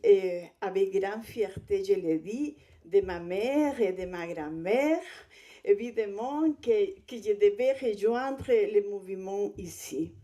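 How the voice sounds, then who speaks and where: A woman from Chile with a Spanish accent, a native Spanish speaker, giving a conference presentation in a hesitant, halting way. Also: mid-range voice, mellow. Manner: hesitant, halting delivery with non-native phrasing. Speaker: guest speaker, visual artist.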